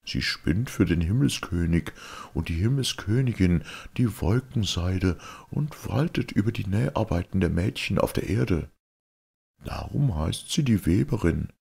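A man's voice: low-pitched, lively intonation, measured pace, gravelly, a little mellow, husky, voice pushed up, exaggerated voice. A man voicing a wise magical talking cow in a fairy tale, solemnly instructing and persuading. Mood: solemn, informing.

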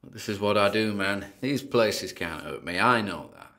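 Low male voice, actor as nervous obsessive ghost hunter, halting muttered commentary, horror audio drama. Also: lively intonation, bright, forceful tone. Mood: determined, feverish. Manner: muttered running commentary with halting pauses and exclamations. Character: nervous, obsessive male paranormal investigator recording himself.

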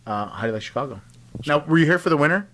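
A man's voice: a little high, expressive, rapid-fire, fairly bright tone. A man in his thirties doing a casual, joking interview on a handheld microphone. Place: indoor backdrop set with couch at a competition venue.

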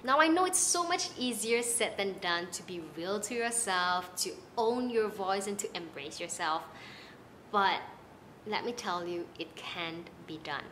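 A young woman from Malaysia with a Malaysian accent, giving casual, animated advice to the camera from her living room. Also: medium pitch, a little slow, slight background noise. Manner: chatty and animated, gesturing with her hands. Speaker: content creator. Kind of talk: casual talking-head vlog advice. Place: small apartment living room, indoors.

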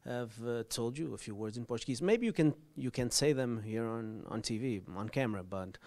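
A man's voice: medium pitch, animated, brisk, darkish tone. A man with a mid-range voice works as the interviewer, asking loose questions. He stops and restarts often, with plenty of fillers between his words. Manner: hesitant, many fillers and restarts.

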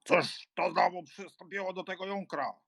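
Gruff cynical major, slow gravelly blustering, very dry close sound, audio drama. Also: male voice, sits high, fairly bright tone, no echo, full character voice. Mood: gruff, impatient. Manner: gruff, exclamatory, scornful. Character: blustering, cynical, hot-tempered old major.

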